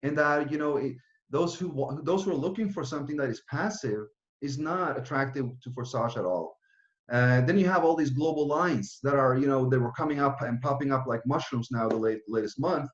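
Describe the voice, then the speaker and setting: Man in his thirties, roomy lo-fi sound. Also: mid-range voice. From Norway. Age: 30s. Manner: fast, run-on, with frequent restarts and fillers. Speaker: project founder presenting. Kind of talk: online presentation with Q and A.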